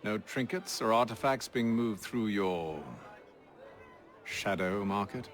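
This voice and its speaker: Gentleman commander, low-pitched, cool and formal, asking clipped, probing questions in a period game drama. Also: slow pace. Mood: restrained. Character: cool, purposeful gentleman leader on a secret search. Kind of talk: video game cutscene acting.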